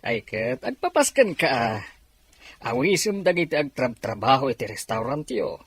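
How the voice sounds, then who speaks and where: An actor playing an obliging, teasing middle-aged uncle in a radio drama, with a husky voice. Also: male voice, mid-range voice, big pitch swings, moderate pace, fairly bright tone, dry recording. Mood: helpful. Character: obliging, teasing uncle helping plan a party.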